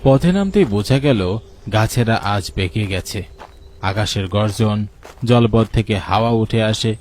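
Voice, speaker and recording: A man's voice: fairly low pitch, unhurried, darkish tone. Man narrating horror, noisy ambience. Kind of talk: scripted horror story narration.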